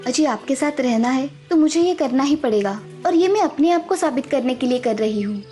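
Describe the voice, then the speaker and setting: A woman voicing a determined, respectful young village daughter-in-law in an animated story, earnest and polite but firm. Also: high voice, brisk, faint music behind. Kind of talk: Hindi animated moral story dubbing.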